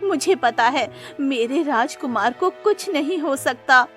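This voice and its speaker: A woman's voice: sits high, expressive, unhurried, fairly bright tone, faint music behind. A woman voicing a tearful, pleading queen who mourns her child in a cartoon story. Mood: anguished. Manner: pleading, wailing. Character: distraught, tearful adult queen mourning her lost son. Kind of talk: cartoon moral-story acting.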